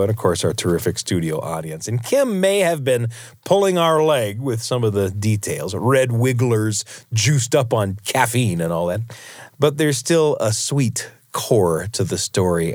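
A man's voice: on the low side, no echo, clean studio sound. An American man hosting a radio show, reading scripted links and speaking directly to listeners. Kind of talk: scripted narration, presenting to listeners. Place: radio studio.

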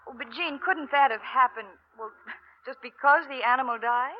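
An earnest young woman rancher, played by an actress in 1940s radio acting. Her voice is high. The dull, lo-fi recording carries a faint hiss. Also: normal speed, lightly acted. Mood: puzzled.

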